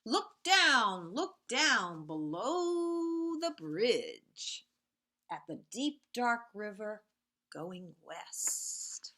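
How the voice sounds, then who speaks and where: Female storybook reader for young kids: slow, bright, expressive, sing-song, talks directly to the children. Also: fairly high pitch. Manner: animated and sing-song, drops to hushed, whispery repeated sound words; addresses young listeners directly, pointing out pictures. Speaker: teacher reading picture books aloud to young children. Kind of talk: expressive read-aloud of children's storybooks, with questions to listeners.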